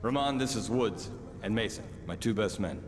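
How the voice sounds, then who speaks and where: An actor playing a composed, formal and authoritative military handler giving a briefing in a game. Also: male voice, fairly low pitch, expressive, normal speed, background noise, lightly acted. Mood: assured. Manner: formal, assured. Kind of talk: cinematic military game acting. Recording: echoey hall-like reverb, faint ambience.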